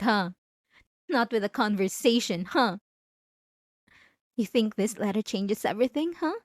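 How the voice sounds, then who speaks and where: A woman's voice: mid-range voice, dry recording, clean studio sound, close to an everyday voice. A woman playing a possessive, obsessive wife in an intimate, theatrical ASMR roleplay monologue. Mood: menacing, bitter. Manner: intimate, theatrical, drawn-out emphatic phrases. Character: possessive, obsessive yandere wife confronting her spouse.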